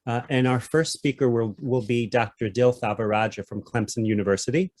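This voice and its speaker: A man's voice: mid-range voice, fairly even intonation, fairly quick, a little mellow. A man moderating an online workshop, politely keeping time and introducing speakers. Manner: polite, accommodating. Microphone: video call.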